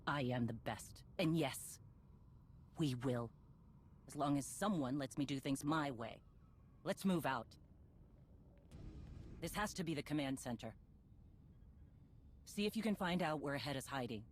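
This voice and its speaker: An actress playing a blunt, commanding female bounty hunter in a video game, impatient and vengeful, with a husky voice. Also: low-pitched, animated, a little slow, long pauses, a little hiss or hum. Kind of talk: video game cutscene acting.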